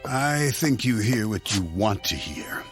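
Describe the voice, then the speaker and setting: An actor playing a smooth, scheming husband who is glib, coaxing and sardonic, in a radio drama. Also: male voice, lowish voice, expressive, measured pace, faint music behind. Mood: coaxing, insistent.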